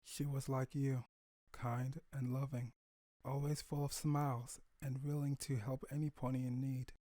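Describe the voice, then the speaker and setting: Earnest pony dad voiced by a man, tender and emotional, mid-range pitch, storybook drama. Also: little pitch movement, unhurried, warm tone, no echo, clean studio sound, natural voice. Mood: somber, fond. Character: tender grieving pony stallion, a young father.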